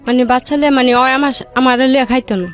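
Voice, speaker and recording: Female interview guest, high voice, rural Bangladeshi dialect, halting plain-spoken storytelling, soft music behind. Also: steady tone. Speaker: studio guest telling her life story. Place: radio studio.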